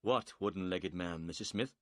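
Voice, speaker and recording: A man voicing a calm, clever male detective who speaks politely, briskly and with assurance. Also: sits low, dry recording, studio quality, pitched below the performer's own voice. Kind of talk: audiobook character voice.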